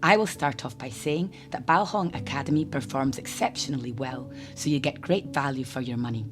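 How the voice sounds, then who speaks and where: A woman hosting a watercolour channel, talking in a hoarse, croaky voice from a cold as she narrates a chatty tutorial voice-over. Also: very deep voice, brisk. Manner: chatty, explanatory, lightly humorous. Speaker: art channel host. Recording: voice-over with guitar music behind.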